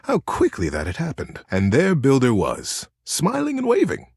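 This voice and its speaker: A man narrating a scripted children's story in a theatrical, expressive manner. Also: mid-range voice, normal speed, slightly rough, no echo, clean studio sound.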